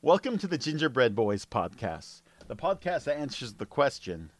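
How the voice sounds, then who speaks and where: A man co-hosting a casual comedy podcast, joking and self-deprecating, his voice going hoarse from strain. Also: mid-range voice, sing-song, moderate pace, no room sound. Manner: jokey, self-deprecating, tries silly cartoon voices. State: losing his voice, throat clearing. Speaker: podcast co-host.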